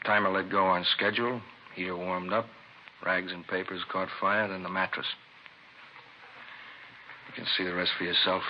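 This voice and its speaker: A man's voice: low-pitched, flat delivery, normal speed, long pauses, close to an everyday voice. A man playing a methodical arson squad investigator in a 1950s radio crime drama, explaining in short, matter-of-fact phrases. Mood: explanatory. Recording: dull, faintly noisy vintage radio recording.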